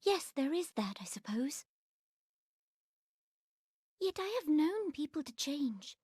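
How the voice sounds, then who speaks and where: A young, regal female ruler in a fantasy game. Her voice is high and dry. She speaks in stately, old-fashioned phrases, earnest and wary. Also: big pitch swings, slow, a little mellow, dry recording, full character voice. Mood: doubtful, weary. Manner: formal, archaic, stately diction. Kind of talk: dramatic fantasy video game acting.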